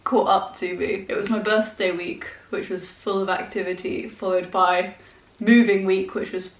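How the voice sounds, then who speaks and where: A woman's voice: mid-range voice, level tone, normal speed, far from the mic. A woman in her twenties with a congested head cold, chatting casually to the camera in a sparsely furnished room at home. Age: 20s. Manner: chatty, rambling, with fillers and small laughs. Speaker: vlogger. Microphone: handheld compact camera. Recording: roomy echo, voice a bit off-mic.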